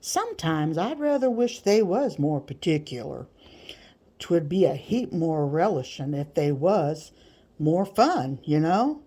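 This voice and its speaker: A woman's voice: deep voice, big pitch swings, a little slow, mellow, exaggerated voice. A woman voicing a sly, boastful male slave catcher with rustic grammar in an audiobook. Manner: rustic nonstandard grammar, gleefully bragging. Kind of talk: audiobook character voice.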